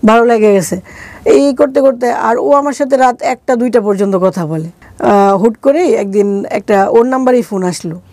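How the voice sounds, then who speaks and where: A woman's voice: fairly high pitch, moderate pace, dark tone, dry recording. A middle-aged woman with a Bangladeshi accent telling a personal story conversationally as an interview guest, speaking colloquially and acting out quoted dialogue.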